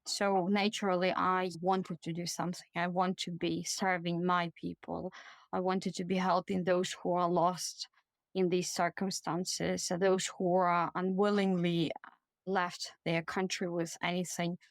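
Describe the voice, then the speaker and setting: A woman from Ukraine speaks English with a Ukrainian accent in a slightly low voice. She answers earnestly, pausing often with "uh" as she searches for words. The recording is close and dry. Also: level tone, no echo. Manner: earnest, with many "uh" pauses. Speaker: guest, charity project lead. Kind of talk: interview conversation.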